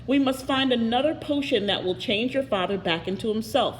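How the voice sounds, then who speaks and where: A woman's voice: on the high side, brisk, in a large hall, slight background noise, pitched above the performer's own voice, natural voice. A woman voicing a storybook noble lady, a worried mother who speaks urgently and decisively.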